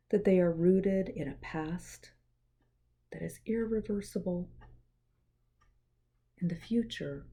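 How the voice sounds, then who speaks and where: Slow, dark-voiced woman guiding meditation. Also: lowish voice. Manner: gentle, measured, breathy pauses. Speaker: meditation guide. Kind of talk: guided meditation, soothing scripted narration.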